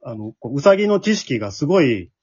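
A man's voice: mid-range voice, big pitch swings, quick pace, a little mellow, breathy edge. A man with a Kansai accent chatting casually in a group after-talk, recorded a little dully. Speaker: amateur drama troupe leader. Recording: dull lo-fi recording.

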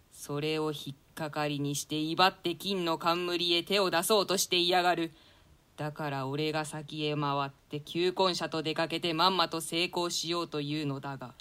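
Casting: woman for scheming tyrant king, resonant lower register, commanding, scornful, theatrical. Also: pushed and bright, clear, resonant tone. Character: ruthless scheming usurper king, imperious and scornful. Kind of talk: classical stage play reading.

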